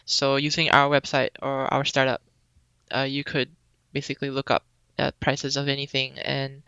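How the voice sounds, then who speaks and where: A young woman from Singapore chats as a podcast guest, relaxed and rambling with plenty of ums. Her voice is bright and crisp with a gravelly edge. The recording is dry and a little dull, like a lo-fi remote call. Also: extremely low pitch, moderate pace, dry recording. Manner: relaxed, chatty, many ums. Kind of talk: casual interview chat.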